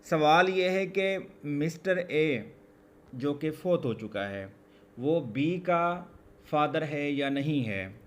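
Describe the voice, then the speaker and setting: Slow-paced Pakistani man teaching, slightly noisy recording. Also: on the high side, expressive. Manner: explanatory, conversational, rephrasing point by point. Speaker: law teacher. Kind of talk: exam lesson, reading and explaining questions. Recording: background noise throughout.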